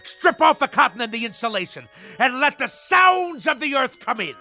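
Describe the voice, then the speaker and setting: Pompous seafaring-obsessed husband, radio drama, theatrical declaiming, high voice, widely swooping delivery. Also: male voice, big pitch swings, normal speed, faint music behind, heavily acted. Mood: aggrieved, blustering. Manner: grandiose, declamatory, swinging from hushed to bellowing. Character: pompous, blustering nautical-obsessed husband, middle-aged model-ship tycoon.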